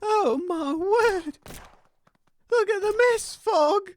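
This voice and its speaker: A woman's voice: extremely high pitch, extremely slow, exaggerated voice. A woman playing a hapless, anxious male radio co-host in a comedy audio sketch, with a hesitant delivery. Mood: panicked.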